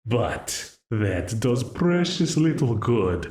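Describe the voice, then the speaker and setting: A man's voice: medium pitch, very animated, very slow, a little mellow, echoey room, a little hiss or hum. A man voicing a smug, menacing male demon who offers a deal, mocking and condescending, laughing often, in an audio roleplay.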